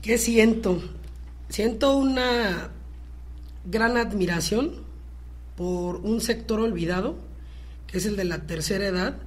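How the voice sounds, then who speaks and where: A woman's voice: medium pitch, slow, long pauses. A woman with a Mexican accent speaking as an actress guest in a conversational interview.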